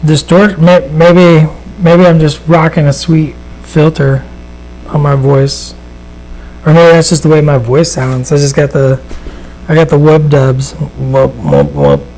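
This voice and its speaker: A man with a somewhat higher voice and a gravelly rasp talks off the cuff while painting on a live stream. He rambles in a relaxed way, full of fillers and restarts. A faint electrical hum sits under the recording. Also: unhurried, low-quality recording. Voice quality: raspy. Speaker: miniature painter and host. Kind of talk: casual live painting demonstration. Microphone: studio microphone at a desk.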